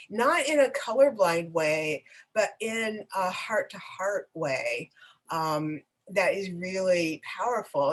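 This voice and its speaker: A woman with a slightly low voice hosts a live online conversation. She speaks haltingly, with frequent pauses and restarts, and she is effusive in encouraging her guest. She is heard over a video call. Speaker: interviewer for a nonprofit network.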